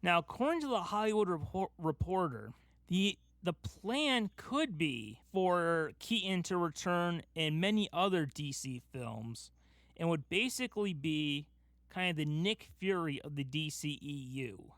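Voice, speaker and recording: Emphatic, higher-pitched man podcasting. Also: lively intonation, a little slow, fairly bright tone, no room sound, clean studio sound. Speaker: podcast host. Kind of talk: casual fan discussion.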